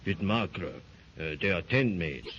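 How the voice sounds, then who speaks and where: An actor with a German accent playing a gruff, sneering German brute in a 1930s radio drama. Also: male voice, low voice, expressive, measured pace, fairly bright tone, exaggerated voice. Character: brutish German man with a whip. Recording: dull lo-fi 1930s radio recording with faint hiss.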